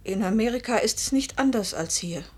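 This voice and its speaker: Breathy, remorseful young wife. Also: female voice, a little high, expressive, a little fast, a little mellow, dry recording. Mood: tense, resigned. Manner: intimate, confessional, dramatic. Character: Camilla, passionate, remorseful young wife and mother. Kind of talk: German radio play acting and first-person narration.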